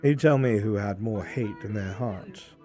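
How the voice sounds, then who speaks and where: A man's voice: fairly low pitch, a little slow, mellow, close to an everyday voice. A man playing a stern, courteous imperial military man who speaks formally and rhetorically in a live fantasy roleplay. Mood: grave, pointed. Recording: music behind the voice.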